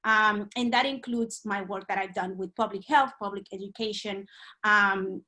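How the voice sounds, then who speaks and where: Dominican-born woman in her 40s, bright tone, lots of ums. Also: medium pitch, normal speed. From Dominican Republic. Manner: hesitant, many ums and uhs. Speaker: guest. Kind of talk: remote podcast interview.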